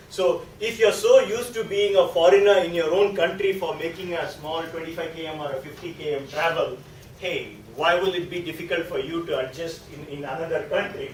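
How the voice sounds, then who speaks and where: Male guest lecturer, South Indian accent, slightly high-pitched, conversational and explanatory, heard in a reverberant room. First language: a South Indian language. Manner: conversational and explanatory, often checking in with a tag question. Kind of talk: informal classroom lecture. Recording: echoey room, distant mic, faint noise.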